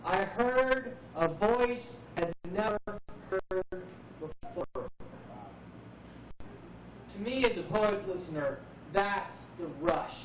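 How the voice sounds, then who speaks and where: A man in his forties speaking as a poet at an open mic, musing reflectively and unhurriedly with dramatic pauses. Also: high voice. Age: 40s. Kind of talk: live spoken-word musing. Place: live open mic venue, roomy. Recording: muffled noisy VHS recording, echoey, distant.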